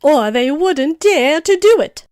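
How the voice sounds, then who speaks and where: Bossy storybook flower: high, drawn-out, emphatic, dry close sound. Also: female voice, lively intonation, dry recording. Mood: indignant scolding. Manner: slow, emphatic scolding. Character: haughty, bossy, passionate talking tiger lily flower. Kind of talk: storybook character voice.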